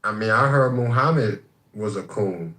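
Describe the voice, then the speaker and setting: A laid-back man with a fairly low voice, speaking in drawn-out street slang. His voice comes through echoey online voice chat audio. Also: sharp tone. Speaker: voice chat participant. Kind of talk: heated online group chat.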